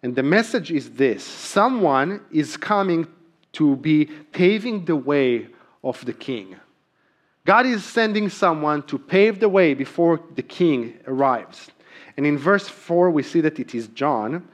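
A male pastor delivering a Sunday sermon with a voice pitched a touch higher than most men. He speaks earnestly, filling pauses with ums and repeating his key lines for emphasis. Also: lively intonation. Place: church sanctuary.

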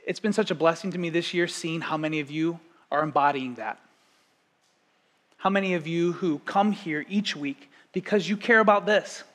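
A man in his thirties preaching a sermon as pastor, talking conversationally and telling stories to the congregation. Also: sits high. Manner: conversational, storytelling, animated asides to congregation.

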